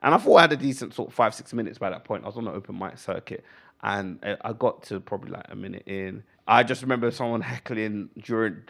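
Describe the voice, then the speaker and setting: A British man, a comedian guest, chatting casually and telling anecdotes in animated, slangy speech. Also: fairly low pitch, quick pace, gravelly.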